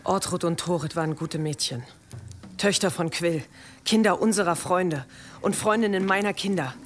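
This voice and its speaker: An actress playing a middle-aged, resolute village mother in a German radio play, brisk and commanding, practical in how she speaks. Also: fairly low pitch, faint music behind, lightly acted. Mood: grave, urgent.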